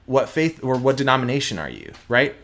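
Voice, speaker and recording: A man's voice: mid-range voice, very animated, a little fast, a bit bright. A young man from Houston with a slight Texas twang, speaking earnestly and emphatically as an interview guest, often restarting his sentences. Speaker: guest, prosecutor. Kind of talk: candid interview conversation.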